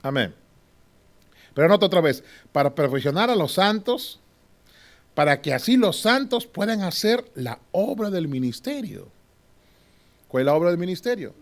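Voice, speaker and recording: A man teaching a Bible class in a preaching style. He often asks the class to agree and moves between loud and hushed delivery. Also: high voice, very animated, normal speed, long pauses. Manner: swings from loud to hushed, with short laughs.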